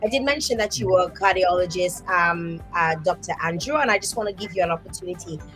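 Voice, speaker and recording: A woman hosting a live online talk show, speaking in an expressive, thankful way over a video call. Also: fairly low pitch, fast talker, soft background music. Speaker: host.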